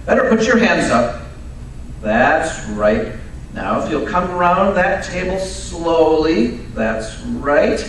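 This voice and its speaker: A man playing a brisk, learned Victorian detective in a live old-time radio drama, precise, commanding and drily teasing. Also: fairly high pitch, big pitch swings, heavily acted. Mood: cool, mocking. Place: live stage performance at radio club event. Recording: very echoey hall, distant mic, faint noise.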